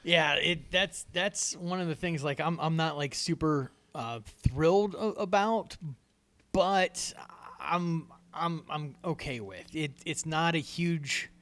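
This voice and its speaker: A man with a fairly high voice who is soft-spoken by nature. He co-hosts a podcast in casual chat and stammers and repeats words as he goes. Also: slow. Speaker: podcast co-host.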